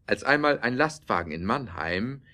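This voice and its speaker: A man narrating a scripted audio drama in a measured storytelling manner. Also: medium pitch, lively intonation, sharp tone.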